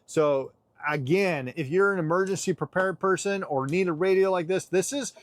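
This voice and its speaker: A man's voice: high-pitched, normal speed, dry recording. A man giving a casual, chatty product demonstration with geeky enthusiasm. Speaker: product reviewer.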